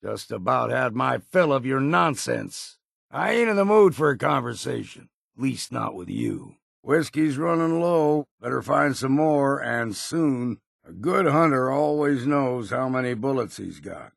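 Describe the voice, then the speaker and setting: An actor playing a gruff, weary old hunter in a video game, grumbling and wary in a folksy, plainspoken rustic drawl. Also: male voice, medium pitch, very animated, measured pace, no room sound, clean studio sound, exaggerated voice. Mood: stern, disapproving, then matter-of-fact. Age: older. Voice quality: gravelly, husky. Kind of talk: video game character voice lines.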